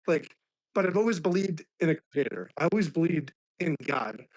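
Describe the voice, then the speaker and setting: A man in his thirties, raspy from laryngitis, talking earnestly on a live stream and saying "like" constantly. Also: on the high side, lively intonation, normal speed, poor audio. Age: 30s. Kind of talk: casual heartfelt talk.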